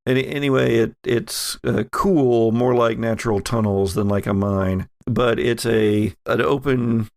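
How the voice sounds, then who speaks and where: A man narrating a horror tabletop game as game master, halting and pausing mid-phrase as he improvises the scene. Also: mid-range voice, steady tone, creaky, darkish tone, no room sound, clean studio sound.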